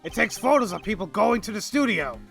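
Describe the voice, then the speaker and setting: Man doing a high, gravelly game character. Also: very animated, a little fast, fairly bright tone, full character voice. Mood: matter-of-fact. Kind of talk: animated video game character acting. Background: music.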